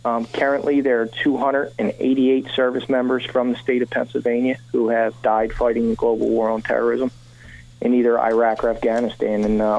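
Casting: male radio guest, warm and mellow, sincere and steady, dull muffled call-in sound. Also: medium pitch. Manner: earnest, measured, with frequent fillers. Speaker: guest, veterans memorial organizer. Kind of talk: radio interview.

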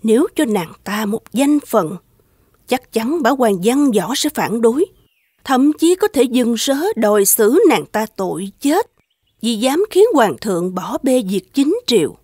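A woman voicing a shrewd, scheming empress in an animated fairy tale, speaking in a measured, courtly way. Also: a little high, breathy edge, no echo, studio quality. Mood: persuasive. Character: shrewd, suspicious, scheming empress, soft-spoken to her emperor. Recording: music playing behind the voice.

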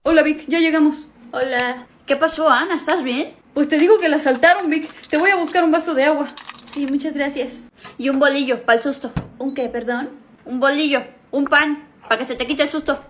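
A young woman acting out a comedy sketch, switching quickly between characters in a small room at home. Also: high voice, a little hiss or hum. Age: young adult.

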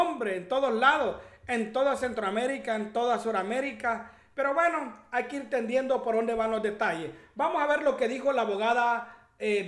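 A man's voice: very high-pitched, fairly bright tone. A man, hosting casual political commentary and piling up repetitions for emphasis. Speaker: host of a commentary channel. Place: home office, indoors. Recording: echoey room sound.

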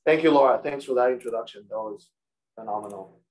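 A man from Peru giving an academic seminar over a video call, speaking haltingly with frequent restarts and repeated words. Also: mid-range voice, darkish tone, poor audio.

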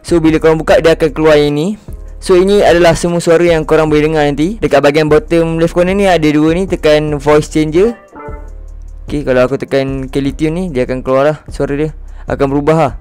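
Casual young Malaysian man, higher-pitched voice. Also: steady tone, fast, darkish tone, clear, resonant tone, soft background music. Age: young adult. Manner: informal, slangy, addressing viewers directly. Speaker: YouTube host. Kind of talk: casual tutorial.

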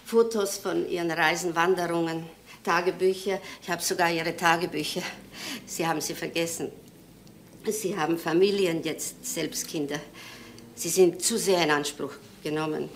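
A wistful older woman in a crime drama, played by an actress, with a fairly low voice. She speaks politely and haltingly, with quiet resignation, over a slightly noisy old soundtrack. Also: steady tone, natural voice. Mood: fond, polite. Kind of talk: German crime drama acting.

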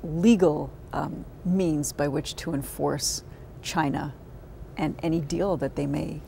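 A middle-aged woman putting halting questions as a financial news interviewer. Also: low-pitched, steady tone, vocal fry, dark tone, slight background noise. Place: indoor stock market studio.

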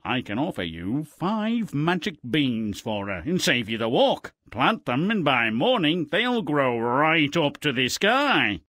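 A man's voice: on the high side, very animated, takes it slowly, hardly any pauses, fairly bright tone, dry recording, full character voice. A man voicing a sly swindler in a fairy-tale video game, with a wheedling salesman's patter. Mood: enticing. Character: sly, wheedling swindler trading magic beans. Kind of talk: video game character acting.

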